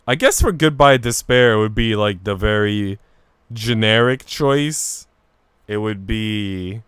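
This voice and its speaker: A man's voice: lowish voice, fairly bright tone, clean tone, no room sound, clean studio sound. A man livestreaming casually, talking to his viewers in informal, slangy language. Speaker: game streamer. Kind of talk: casual livestream chat, reading visual novel dialogue aloud.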